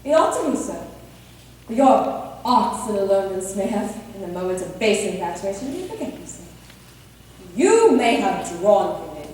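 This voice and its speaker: A woman's voice: mid-range voice, big pitch swings, normal speed, a little mellow, a little hiss or hum, close to an everyday voice. A woman playing an imperious, haughty older aristocratic lady in a period stage play, with pompous, cold and formal speech. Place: theatre stage. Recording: echoey stage recorded from far off.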